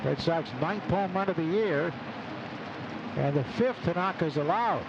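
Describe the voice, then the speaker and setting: A man doing live radio baseball play-by-play, calling the action in clipped phrases between pauses. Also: fairly high pitch, creaky, highs cut off. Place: open broadcast booth at outdoor ballpark. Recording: noisy outdoor ballpark broadcast. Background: loud stadium ambience.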